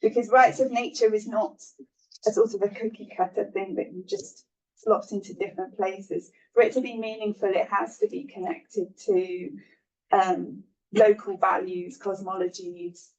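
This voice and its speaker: A woman speaks on a lecture panel, explaining calmly and in a measured way. Her voice sits at a middle pitch. She is picked up from some distance. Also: lo-fi sound. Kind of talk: answering questions at a lecture panel. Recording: distant mic.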